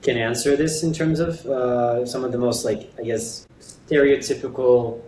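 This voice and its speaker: A young man who grew up speaking Russian co-hosts a casual podcast, talking in an animated way with many "like"s. His voice sits at a middle pitch. The room is echoey, with a faint rumble. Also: measured pace. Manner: animated, heavy use of "like". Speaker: podcast co-host. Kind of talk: casual chat.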